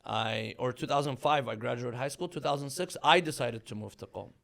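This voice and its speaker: A man in his thirties, born in Iran, a religious scholar speaking as a guest in a relaxed interview with a measured, thoughtful manner. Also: medium pitch, vocal fry. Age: 30s. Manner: measured, thoughtful storytelling with fillers. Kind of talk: conversational interview.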